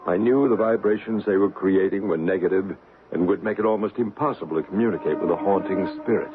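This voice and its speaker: An actor playing a calm, probing paranormal investigator in a 1970s radio drama, deliberate and soothing. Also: male voice, deep voice, soft background music, lightly acted. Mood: grave, measured. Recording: tinny, hissy vintage radio recording.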